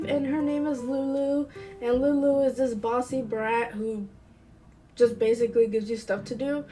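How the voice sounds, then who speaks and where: A woman's voice: on the high side, a little mellow, rough recording. A woman hosting a casual video game review, chatty and playful, sounding congested and a bit nasal from a cold. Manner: chatty and playful, with light asides. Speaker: host of a gaming channel.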